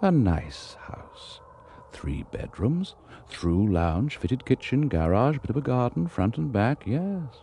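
An actor playing an ominous, wry narrator with a British accent, telling a radio horror tale in a deliberate, foreboding way. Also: male voice, mid-range voice, sing-song, mellow, a little hiss or hum.

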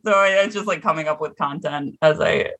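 A woman in her twenties, from Seattle, talks easily as a podcast guest. Her voice sits low for a woman, and she laughs often between stories. She swears casually and keeps things loose. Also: a little fast, a bit bright. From Seattle, USA. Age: 20s. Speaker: guest actor.

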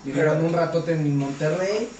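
A man's voice: a little high, moderate pace, a little mellow. A Mexican man co-hosting a casual podcast, bantering with crude slang and teasing. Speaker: podcast co-host. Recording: echoey, distant mic, faint noise.

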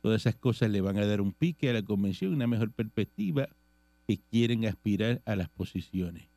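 A man's voice: fairly low pitch, animated, a little fast, mellow, no echo. A Puerto Rican man hosting a casual radio talk show, reading political news and commenting on it in conversation. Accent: Puerto Rican Spanish. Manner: reads news items and comments on them conversationally.

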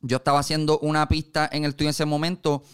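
A man's voice: on the high side, monotone, fast, clean tone. A man with a Puerto Rican accent, a music producer being interviewed, telling stories in casual conversation. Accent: Puerto Rican Spanish. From Puerto Rico.

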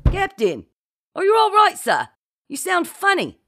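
A woman's voice: very high voice, huge pitch swings, measured pace, creaky, over music, voice pushed up, heavily acted. A woman voicing an anxious young male crewman in an audiobook, urgent, deferential and whiny, calling out. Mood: worried whining.

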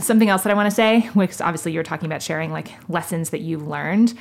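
Chatty woman podcast interviewer, lowish voice w lots of vocal fry, says like a lot, affirming. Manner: chatty, affirming, heavy use of "like". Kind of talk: casual conversational interview.

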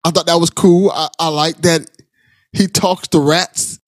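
A man hosting a casual interview podcast, speaking appreciatively in a halting way and repeating words as he starts. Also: a little high, steady tone, no room sound, studio quality. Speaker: podcast host.

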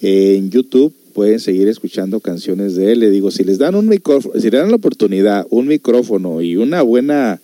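A man's voice: on the low side, animated, brisk, hardly any pauses, warm tone, dry recording. A man hosting a show, talking casually to listeners in a musing, conversational way with rhetorical questions. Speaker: host of a music and reflection show.

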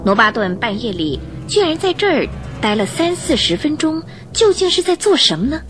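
Animated woman narrating with music behind. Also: high voice, normal speed. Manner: expressive, suspenseful. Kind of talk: dramatic scripted storytelling for radio drama. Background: music under the voice.

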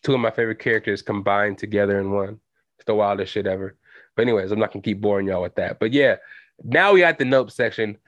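A man's voice: on the low side, fast talker, no echo. A young adult man hosting a solo podcast, talking loosely in slang with lots of fillers. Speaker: podcast host. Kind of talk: solo casual podcast chat. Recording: dull lo-fi home recording.

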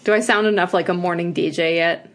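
A woman's voice: fairly low pitch, fairly even intonation, fast. A woman co-hosting a podcast in casual chat, gushing and chatty, with lots of filler "like"s. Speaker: podcast co-host.

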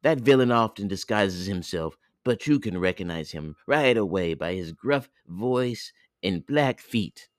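A man's voice: on the low side, lively intonation, unhurried, hardly any pauses, dry recording, clean studio sound. A man voicing a caring mother goat from a storybook, earnest and cautioning.

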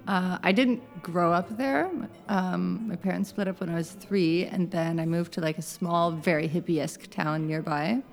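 Creaky-voiced chatty woman. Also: on the low side, moderate pace, hardly any pauses, faint music behind. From United States (Southern Oregon). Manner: chatty, laughs often, rambling asides. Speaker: podcast guest. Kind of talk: casual chat.

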